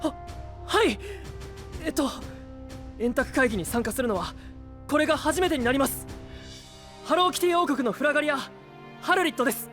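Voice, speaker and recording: A man playing an earnest young newcomer knight in an anime audio drama, sincere, excitable and polite. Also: very high voice, moderate pace, long pauses, soft background music. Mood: shy admiration.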